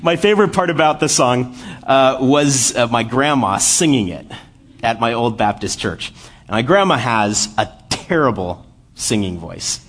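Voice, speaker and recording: Husky young man preaching a sermon. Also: mid-range voice, a little slow. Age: 20s. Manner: animated and laughing in the story, then quiet and earnest. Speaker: lay preacher. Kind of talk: church sermon.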